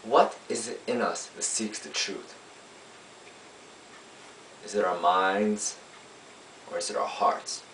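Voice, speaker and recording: A man playing a young, earnest defense lawyer, pleading to a jury in an emphatic, oratorical monologue with deliberate pauses. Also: on the low side, fairly bright tone. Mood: impassioned. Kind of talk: dramatic courtroom monologue. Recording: roomy, distant mic, faint hiss.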